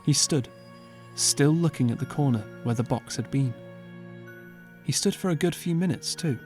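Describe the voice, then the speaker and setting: A man narrating an audiobook as scripted storytelling, measured and earnest, with dramatic pauses. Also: fairly high pitch, darkish tone. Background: clear background music.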